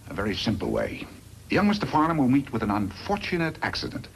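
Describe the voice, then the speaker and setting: An actor playing a scheming, sardonic villain boss in a 1950s radio western, insinuating and bossy. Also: male voice, medium pitch, huge pitch swings, fast, gravelly. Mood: sly, calculating. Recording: noisy vintage 1950s radio recording.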